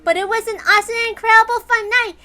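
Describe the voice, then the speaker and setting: An innocent, affectionate little girl with a very high, bright voice. She gushes like a child, eager and emphatic, in a visual novel read aloud over music. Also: fairly even intonation, quick pace, heavily acted. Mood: wistful, hopeful.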